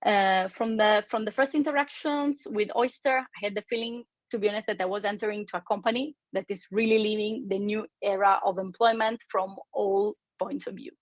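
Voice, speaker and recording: A woman's voice: mid-range voice, steady tone, a little fast, hardly any pauses, dry recording. A woman from Italy with an Italian accent, presenting her company in a webinar over a video call, with many fillers and restarts. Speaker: company representative on a webinar panel.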